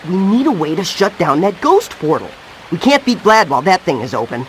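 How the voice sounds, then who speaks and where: Earnest high-pitched teenage hero. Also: male voice, big pitch swings, a little fast, a little hiss or hum, rough recording. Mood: determined. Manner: earnest, youthful, determined. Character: heroic teenage ghost boy, earnest and determined. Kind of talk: cartoon video game acting.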